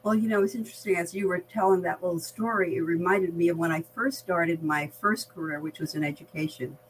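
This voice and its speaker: An older woman with an American accent speaks in a measured, articulate, explanatory way, reflecting in conversation as an experienced interview guest. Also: fairly low pitch, slight background noise, poor audio. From Barbados. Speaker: veteran diversity practitioner, podcast guest.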